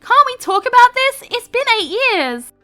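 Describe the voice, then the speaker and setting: A high, sweet young woman coaxing and pleading in a storybook reading, as a woman puts her on. Her voice sits well above the reader's own and swings brightly. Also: sing-song, moderate pace, faint music behind, full character voice. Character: pleading young woman, stepmom and ex-best friend.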